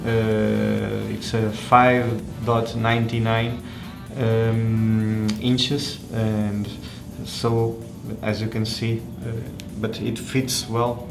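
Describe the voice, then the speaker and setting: A man giving an unscripted hands-on product review in a halting, hesitant way, often pausing and repeating words. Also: lowish voice, gravelly, reverberant. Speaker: tech reviewer presenting a phone.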